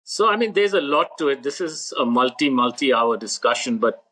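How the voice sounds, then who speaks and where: A man answering as a podcast guest, measured and blunt, making short firm statements. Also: mid-range voice, lively intonation, bright, forceful tone. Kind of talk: interview answers.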